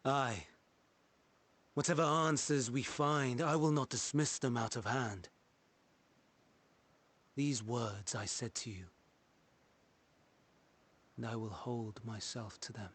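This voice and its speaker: Game role: idealistic ancient scholar, earnest and troubled, unhurried halting delivery, heartfelt formal speech. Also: male voice, medium pitch, fairly bright tone, slightly rough. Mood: quiet resolve.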